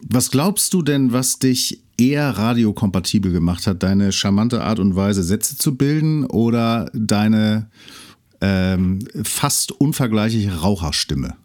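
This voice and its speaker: A man's voice: on the low side, animated, a little slow, soft background music. A man from Hamburg hosting a podcast, who asks questions in a jokey, playful way. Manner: jokey, warm-hearted fan. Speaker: podcast host. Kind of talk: casual interview chat.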